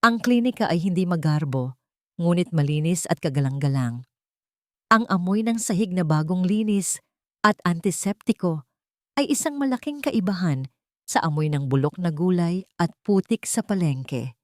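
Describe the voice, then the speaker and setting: Low-voiced woman narrating a drama. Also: animated, no room sound, clean studio sound. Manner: measured, dramatic pacing. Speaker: audiobook narrator. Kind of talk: first-person dramatic story narration.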